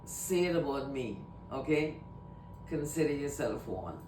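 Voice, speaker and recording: A woman's voice: animated, measured pace, a little mellow. A woman from Trinidad and Tobago with a Trinidadian accent, talking casually to camera in a rambling way and often repeating herself. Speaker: vlogger. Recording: echoey room, mic at a distance, faint rumble.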